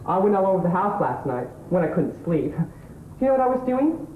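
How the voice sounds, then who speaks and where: A male actor plays a scruffy English suitor, earnest and smugly analytical, in an amateur stage play. His high voice halts and doubles back on words. The old recording is tinny and roomy. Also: very animated. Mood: dreamy, intense. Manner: halting, repeats words as he explains. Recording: tinny old tape, roomy, background hiss.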